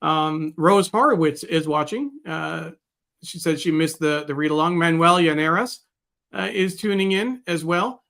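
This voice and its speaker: A man co-hosting a live discussion show, speaking casually in a halting, hesitant way. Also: a little high, vocal fry, a bit bright.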